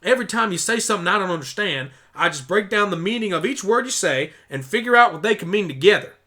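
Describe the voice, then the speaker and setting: Guy doing a young country mare, plain talking n stubborn, highish bright voice, exclaims a lot, cartoon fan drama. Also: expressive, fast talker. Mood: matter-of-fact. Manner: folksy, blunt, exclamatory. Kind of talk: fan audio drama acting.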